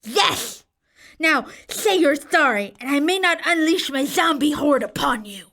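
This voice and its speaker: A woman's voice: high voice, animated, a little slow, bright, forceful tone, exaggerated voice. A woman playing a whiny, megalomaniac student necromancer in a comedic audio drama, grandiose and melodramatic. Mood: indignant, defiant.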